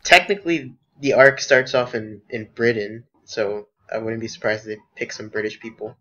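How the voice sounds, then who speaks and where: A laid-back man drops short remarks into an anime podcast. His voice is bright, but it comes through a dull, muffled microphone. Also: medium pitch, fairly even intonation, normal speed. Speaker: podcast co-host. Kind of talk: casual chat.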